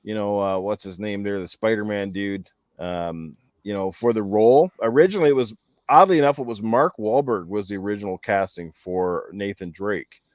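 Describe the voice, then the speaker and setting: A man chatting casually as host of a panel show on games and movies, animated and opinionated, with some swearing. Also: lowish voice, normal speed, dry recording, clean studio sound.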